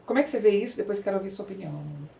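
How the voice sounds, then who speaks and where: A Brazilian woman with a soft, mellow tone hosts a podcast conversation. She is emphatic, restating phrases to drive a point home. The room around her voice rings with clear echo. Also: mid-range voice, expressive, very fast, slight background noise. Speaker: podcast host and interviewer. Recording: echoey room.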